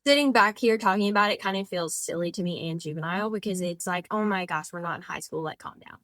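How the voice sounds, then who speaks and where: A woman's voice: fairly low pitch, fast talker, fairly bright tone, no room sound. A woman in her twenties, a podcast guest and author, talking in a chatty, rambling way with lots of "like" fillers and self-corrections. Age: 20s. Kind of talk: casual conversational interview.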